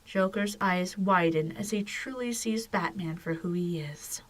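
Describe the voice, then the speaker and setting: Slow, low-voiced woman narrator. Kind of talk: dramatic scripted story narration.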